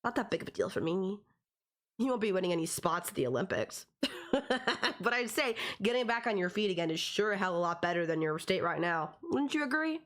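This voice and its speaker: A woman's voice: fairly low pitch, expressive, a little fast, natural voice. A woman playing a possessive, teasing young stalker in an audio roleplay, talking straight to the listener in a conversational, condescending yet affectionate way. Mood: coaxing, condescending.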